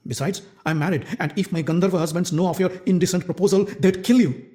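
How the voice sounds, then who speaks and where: A man's voice: high voice, fast, warm tone, voice pushed up, close to an everyday voice. Man doing a wronged young woman, pleading, indignant, storybook tale. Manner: pleading, then fiercely indignant. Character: proud, wronged young queen's maid. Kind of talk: storybook character voice.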